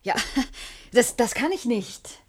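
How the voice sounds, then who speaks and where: A woman's voice: a little high, animated, slow, background noise. Nervous, evasive female lab assistant. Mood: nervous, defensive. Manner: hesitant, defensive. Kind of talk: German radio play acting.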